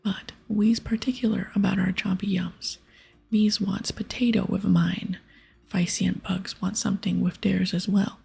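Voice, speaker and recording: A woman's voice: medium pitch, little pitch movement, measured pace, gravelly, slightly rough, heavily acted. A woman voicing a demanding, food-loving ogre from a fantasy game, whispering in childlike broken grammar. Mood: bossy, hungry. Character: gruff, demanding ogre who loves food. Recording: soft music behind.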